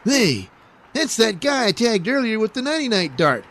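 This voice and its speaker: Man doing a high, dopey sidekick. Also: very animated, fairly bright tone, heavily acted. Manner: whiny, childish, slow and dopey. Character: dim, whiny young male sidekick.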